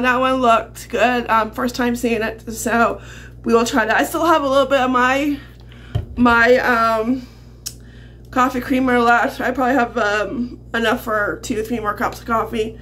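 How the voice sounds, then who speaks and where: A woman's voice: fairly high pitch, fairly bright tone. A middle-aged woman chatting casually and in a rambling way to camera as a vlogger. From Canada. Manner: rambling, chuckles often. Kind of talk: casual chatty grocery haul vlog. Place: small home kitchen, indoors. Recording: noisy home kitchen camera audio.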